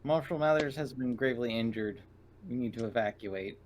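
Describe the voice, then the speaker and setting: A man's voice: mid-range voice, fairly quick. A man playing a tabletop game, moving between table talk and speaking in character. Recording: noisy microphone with clicks.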